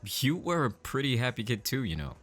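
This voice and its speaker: A man playing an affectionate, easygoing father and husband, chipper and gently teasing, in an audio drama. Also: medium pitch, big pitch swings, a little fast. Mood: warm, playful.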